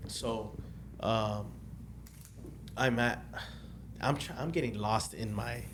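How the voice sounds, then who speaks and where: A man's voice: on the low side, slow, background noise. Rambling young man telling his story. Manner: rambling, loses track of dates, many restarts and fillers. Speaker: podcast guest telling his testimony. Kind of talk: casual podcast storytelling.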